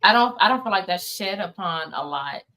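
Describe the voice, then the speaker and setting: A woman's voice: mid-range voice, expressive, normal speed, bright, forceful tone. An American woman talking casually as an interview guest, informal and emphatic, repeating words for emphasis. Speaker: interview guest, playwright and producer.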